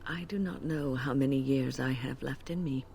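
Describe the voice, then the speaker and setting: A weary, gravelly old mage. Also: female voice, very deep voice, normal speed, dark tone, a little hiss or hum. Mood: earnest. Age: older. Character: weary older woman mage, dry and dutiful.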